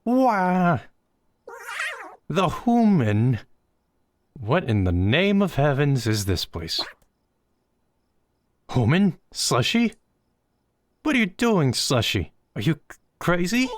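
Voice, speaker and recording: A man voicing a timid, panicky little male ghost who squeaks and stammers when nervous. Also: huge pitch swings, slow, long pauses, dry recording, clean studio sound, heavily acted.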